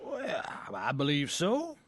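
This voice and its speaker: A man's voice: fairly high pitch, wildly swooping pitch, slow pace, fairly bright tone, slightly rough, dry recording, exaggerated voice. An actor playing an easygoing, wry backwoods moonshiner father with a rural Southern American accent in an old-time radio drama. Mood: grateful, easygoing. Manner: folksy, drawling rural dialect.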